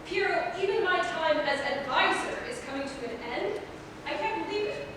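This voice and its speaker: A woman's voice: very high-pitched, lively intonation, moderate pace. A woman playing a vain, scheming, aging male royal advisor, declaiming imperiously in a staged play reading. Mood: bitter brooding. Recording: echoey hall from far off, noisy.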